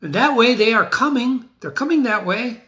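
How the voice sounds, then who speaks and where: A man's voice: very high-pitched, animated, normal speed, bright, forceful tone, pitched above the performer's own voice, heavily acted. A man voicing vengeful rebel noblemen rallying before battle, declaiming in a dramatic reading of a stage tragedy. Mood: urgent, martial.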